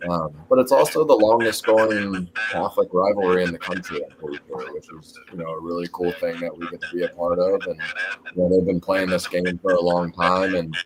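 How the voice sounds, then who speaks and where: A man with an American accent talking in a sports interview as a high school football coach, earnest and enthusiastic. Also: background noise. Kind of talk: sports talk show interview.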